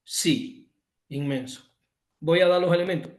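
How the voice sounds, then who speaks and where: A man's voice: a little high, a little slow, reverberant. A man from Cuba with a Cuban accent, giving an emphatic online lecture over a video call, restating his points and correcting himself often. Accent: Cuban Spanish.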